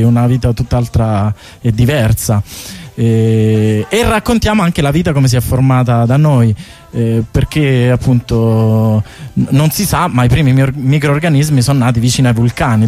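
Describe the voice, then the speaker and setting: A man with a medium-pitched voice talks as a guest on a casual radio show. He explains things informally, circling back and repeating words as he looks for the next one. Music plays faintly behind him. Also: normal speed, dark tone. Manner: informal, hesitant, rambling explanations with word repetitions. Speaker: guest artist presenting a bike tour project. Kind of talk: casual radio interview chat. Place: radio studio.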